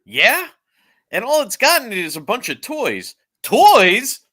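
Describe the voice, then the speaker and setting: Dim cartoon ghost underling, voiced by a table reader, goofy, bright, slow and swooping. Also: mid-range voice, huge pitch swings, exaggerated voice. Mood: dim bluster. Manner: goofy, exaggerated. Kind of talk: live comedic table read.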